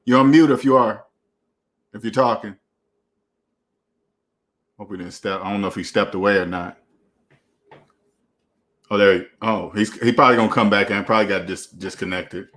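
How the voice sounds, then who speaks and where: A man hosting a casual online panel chat, relaxed and encouraging, using slangy everyday speech. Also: lowish voice, normal speed, pauses often. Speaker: show host.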